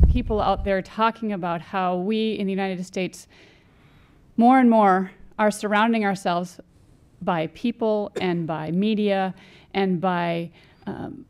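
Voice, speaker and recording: A woman in her forties takes part in a panel discussion. Her voice sits at a medium pitch, and she explains her views in an earnest, measured way. She speaks into a desk microphone in a council chamber. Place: council chamber with small audience. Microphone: gooseneck desk microphone.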